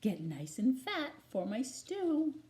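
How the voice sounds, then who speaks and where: A woman voicing a greedy, scheming male wolf in a storybook, coaxing with gleeful, drawn-out words. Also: high voice, sing-song, warm tone, voice pushed up, full character voice. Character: hungry scheming male wolf, greedy but sweet-talking.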